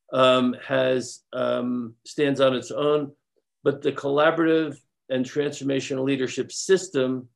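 A man's voice: medium pitch, gravelly. A man, a consultant guest in an interview, explaining his work step by step with some restarts.

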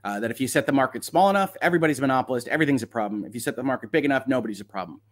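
A man's voice: mid-range voice, lively intonation, rapid-fire. A man hosting a livestream of legal commentary, talking casually and reading aloud with wry, sardonic asides.

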